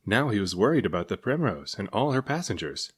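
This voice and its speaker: A man reading a children's story aloud as narrator, in an expressive manner. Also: fairly low pitch, brisk, husky. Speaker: storybook reader.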